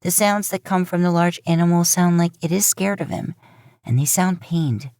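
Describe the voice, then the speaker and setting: A woman's voice: lowish voice, normal speed, darkish tone, no room sound, studio quality. A woman reading scripted fan fiction aloud as a story narrator. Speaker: podfic narrator.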